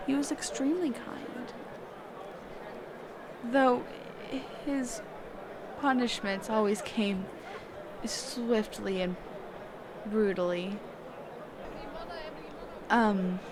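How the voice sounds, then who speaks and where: A woman's voice: fairly high pitch, noisy background. A woman playing a kind, reclusive magic teacher in an intimate roleplay audio, speaking hesitantly, flustered and trailing off. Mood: wistful. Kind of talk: intimate character roleplay audio, one-sided conversation.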